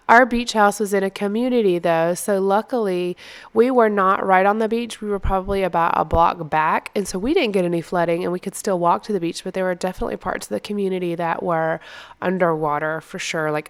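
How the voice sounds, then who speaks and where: Congested American woman, smooth clear voice. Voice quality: congested, stuffy-nosed. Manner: low-key, not very peppy. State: congested from being under the weather. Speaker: craft video host. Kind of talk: casual crafting voice-over, unscripted.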